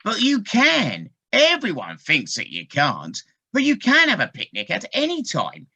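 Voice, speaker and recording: A woman's voice: medium pitch, wildly swooping pitch, unhurried, creaky, sharp tone, breathy edge, full character voice. A woman playing a kindly, trusting, fretful water rat in an audio play, earnest and fussing, on dull lo-fi call audio. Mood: concerned, trusting. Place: living room, recorded over video call.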